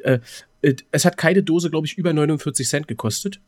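A man's voice: on the high side, a little fast, a little mellow, no echo, studio quality. A man of twenty-seven chatting casually as a podcast co-host, rambling through anecdotes and repeating words as he searches for them. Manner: rambling, stuttering word repeats. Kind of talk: casual chat, telling anecdotes.

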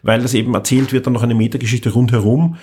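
A man with a mid-pitched, husky voice hosts a podcast. He chats casually and reviews with emphatic enthusiasm. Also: steady tone, quick pace, a little mellow. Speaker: podcast host. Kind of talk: casual chat reviewing audio dramas.

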